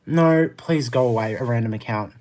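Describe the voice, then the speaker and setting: A man's voice: mid-range voice, moderate pace, darkish tone. A man with an Australian accent chatting casually on a livestream, answering viewer comments in a blunt, exasperated, emphatic way. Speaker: live streamer.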